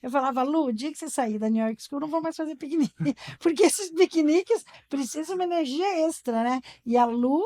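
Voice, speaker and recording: A woman's voice: sits high, fairly quick, dark tone. A Brazilian woman with a Brazilian Portuguese accent, hosting a casual podcast interview, chatty and laughing often as she reminisces. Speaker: podcast host and school director.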